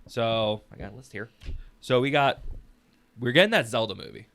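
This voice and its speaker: A man's voice: medium pitch, moderate pace, a bit bright. A man hosting a podcast in a casual group discussion, speaking informally to his co-hosts. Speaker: podcast host.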